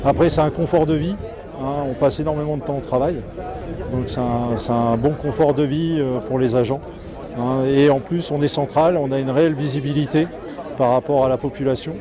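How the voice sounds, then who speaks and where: A man, head of a police service, answering in an interview in a halting way with frequent verbal tags. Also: medium pitch, steady tone, a crowd in the background.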